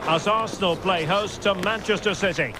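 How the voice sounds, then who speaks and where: A man's voice: sits high, moderate pace, close to an everyday voice. A man playing an eager TV football commentator in a video game, giving dramatic, scripted pre-match build-up. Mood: building excitement. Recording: stadium ambience and noise behind.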